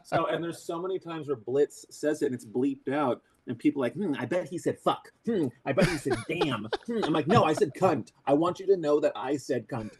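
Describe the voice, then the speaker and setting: A man who voices a cartoon character, talking in a casual interview, excitable and crude, joking and restarting often. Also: a little high, fairly quick, hardly any pauses, a little hiss or hum. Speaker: voice actor guest.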